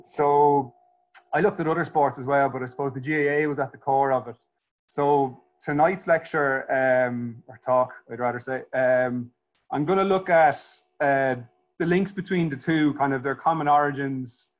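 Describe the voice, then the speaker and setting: A man in his thirties with an Irish accent gives an informal history lecture. His voice sits at an ordinary middle pitch. He talks in a relaxed, halting way, restarting phrases and filling pauses as he goes. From Ireland. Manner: hesitant, many fillers and restarts.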